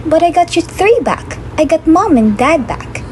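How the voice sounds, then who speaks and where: A woman's voice: extremely high pitch, big pitch swings, moderate pace, darkish tone, slight background noise, pitched above the performer's own voice. A woman voicing an anxious but plucky young girl character in a puppet show. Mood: upset, protesting.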